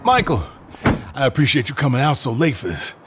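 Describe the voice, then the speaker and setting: An actor as an animated, uneasy office client. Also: male voice, medium pitch, a little fast, loud music behind the voice, natural voice. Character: uneasy male campaign office client, late at night. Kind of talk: audio drama acting.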